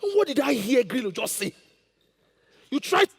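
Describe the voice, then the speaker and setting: A man acts out an ambitious, menacing royal schemer in a Nigerian stage play. The voice is very high with a gravelly creak and swings widely, barking orders imperiously. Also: very animated, normal speed, exaggerated voice. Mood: bitter. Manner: imperious, barking threats and proverbs. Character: domineering ambitious royal plotter, hot-tempered and menacing. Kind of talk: declamatory stage acting, Nigerian drama.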